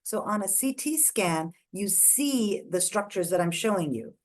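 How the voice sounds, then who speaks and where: A woman's voice: medium pitch, expressive, measured pace. A woman from India giving a methodical online lecture, pointing out structures on images one by one. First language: not English. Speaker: lecturer on ear anatomy. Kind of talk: online lecture walking through scans.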